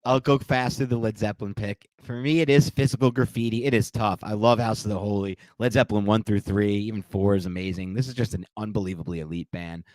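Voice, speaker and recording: Man, lowish voice, enthusiastic, laughs a lot, casual podcast chat, dry close sound. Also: fairly even intonation, fast talker, hardly any pauses, darkish tone, no echo. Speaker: podcast co-host.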